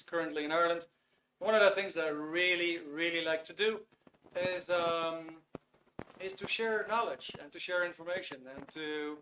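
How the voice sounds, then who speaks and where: A man's voice: a little high, fairly bright tone, a little hiss or hum, rough recording. A man in his fifties with a Dutch accent, hosting a live online webinar in an upbeat way and greeting viewers by name. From Netherlands. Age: 50s. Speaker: webinar host.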